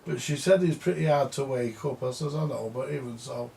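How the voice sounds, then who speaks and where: A man's voice: medium pitch, brisk, gravelly, dark tone, a little hiss or hum. An older man who repairs vintage audio gear, rambling and grumbling in a casual monologue, repeating himself and swearing now and then.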